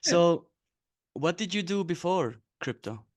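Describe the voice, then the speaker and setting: A man's voice: high-pitched, lively intonation, a little slow, dry recording, studio quality. A thirty-year-old man from Switzerland hosting a casual, informal podcast interview. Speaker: podcast host.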